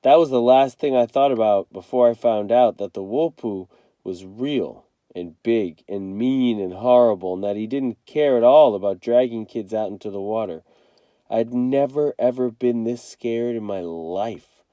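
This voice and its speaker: A man reads a story aloud with dramatic expression. His voice is very dark and soft-edged, mid-pitched, and recorded close and dry. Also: measured pace, dry recording. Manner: dramatic, acts out the dialogue. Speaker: read-aloud reader, teacher.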